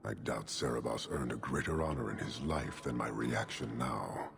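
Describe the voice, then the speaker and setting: Stern horned warlord, extremely low gravelly voice, terse formal disdain, game cutscene, noisy ambience behind. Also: male voice, normal speed. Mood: stoic, grave. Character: stern, imposing horned warrior commander of a foreign creed. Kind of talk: video game cutscene acting.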